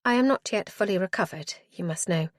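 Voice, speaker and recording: A woman's voice: mid-range voice, lively intonation, a little fast, dry recording, clean studio sound, natural voice. A woman reading aloud, voicing a young noblewoman who speaks with polite restraint. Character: young noblewoman, composed, recovering from childbirth.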